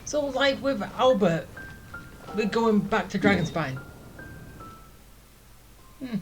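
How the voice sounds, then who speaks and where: A woman's voice: medium pitch, lively intonation, slow pace, poor audio. A woman giving casual, blunt gameplay commentary, often muttering asides to herself. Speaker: gaming video host. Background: game music behind the voice.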